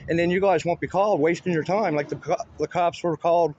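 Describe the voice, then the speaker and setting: A man's voice: fairly high pitch, steady tone, fast, darkish tone, dull audio, slight background noise. A man with a Canadian accent in casual conversation, explaining himself in an insistent, repetitive way. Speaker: video auditor filming in public buildings. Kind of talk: casual spoken conversation with a police officer.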